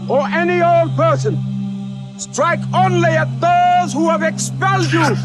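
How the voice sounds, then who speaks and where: A man's voice: extremely high pitch, rough recording. A man in a film scene laying down rules in a solemn, commanding way. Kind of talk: dramatic film dialogue.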